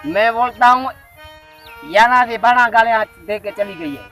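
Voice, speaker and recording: A man's voice: very high-pitched, a little slow, pushed and bright, faint music behind, heavily acted. A man playing a luckless, rambling bachelor in a comedy skit, complaining animatedly about his search for a wife. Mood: mocking, animated. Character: luckless, grumbling bachelor desperately hunting a wife by phone. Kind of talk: improvised comedy skit, casual chat.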